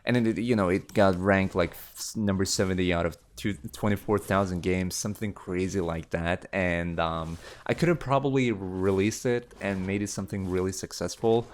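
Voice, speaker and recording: Deep-voiced young man chatting casually. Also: fairly even intonation, normal speed, few pauses, slight background noise. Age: late teens. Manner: rambling, with many fillers and restarts. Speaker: YouTube creator. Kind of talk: casual Q&A chat.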